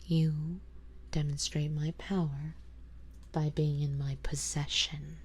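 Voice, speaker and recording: A woman playing an ancient, wealthy female vampire in an ASMR roleplay, speaking softly and intimately in formal, measured words, composed and quietly possessive. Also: low-pitched, little pitch movement, heavily acted. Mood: patient, assured. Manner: slow, soft, intimate, breathy delivery drifting into whispers. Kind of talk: ASMR roleplay audio drama, addressing the listener.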